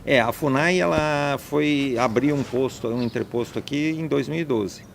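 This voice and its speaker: A man's voice: mid-range voice, moderate pace, creaky, slight background noise. A man being interviewed as a landowner, talking emphatically and stacking up points one after another. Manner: emphatic, listing and repeating points. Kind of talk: conversational interview.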